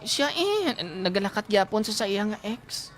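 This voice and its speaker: A man voicing a plaintive, neglected wife in a comic radio drama, with a Visayan Filipino accent and halting, confiding delivery. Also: high-pitched, very animated, heavy background noise. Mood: aggrieved. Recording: loud music under the dialogue.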